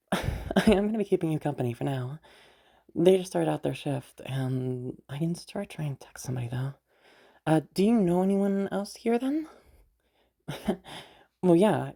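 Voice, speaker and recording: A woman playing a teasing, self-assured, sardonic yet protective young woman in a listener roleplay, rambling and halting as she talks. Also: extremely low pitch, creaky, dark tone, heavily acted. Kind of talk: roleplay audio drama addressed to the listener.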